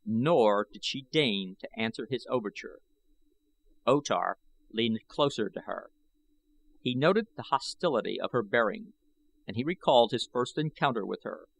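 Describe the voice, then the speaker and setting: A man's voice: mid-range voice, animated, normal speed, gravelly, poor audio. A man narrating an adventure novel as a dramatic scripted audiobook reading.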